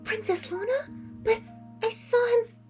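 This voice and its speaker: Woman voicing a high-pitched, timid young pony girl. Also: a little slow, a little mellow, soft background music. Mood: timid, unsure. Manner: hesitant, stammering, pleading. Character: timid, anxious young female pegasus pony. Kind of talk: expressive storybook character reading.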